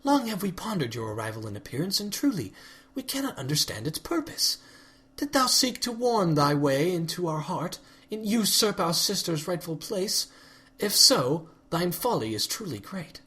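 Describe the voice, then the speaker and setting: A man's voice: high voice, very animated, moderate pace, sharp tone, pitched above the performer's own voice, full character voice. A wrathful elder pony princess with a lifted, ringing voice that swings widely as she pronounces judgment in old-fashioned, formal speech, performed by a man. She sounds imperious and unyielding, as from a storybook. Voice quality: archaic formal speech, commanding. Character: regal, furious elder winged pony princess.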